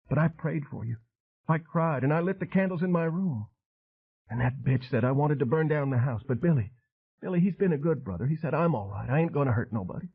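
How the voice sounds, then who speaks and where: A man's voice: breathy edge, phone-quality sound. A man putting on a rambling madwoman. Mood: wounded, rambling. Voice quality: high, trembling. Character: unstable Southern madwoman, fragile and fervent.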